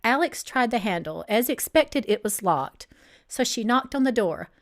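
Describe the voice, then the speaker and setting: A woman's voice: a little high, expressive, normal speed. A woman reading a novel aloud as narrator, in the style of an author's live audiobook reading.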